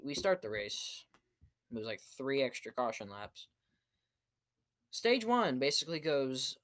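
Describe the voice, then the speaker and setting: A young man talking casually in a vlog recap, in short piecemeal phrases, at a desk in a small room. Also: medium pitch. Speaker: amateur race reviewer. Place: small cluttered room indoors.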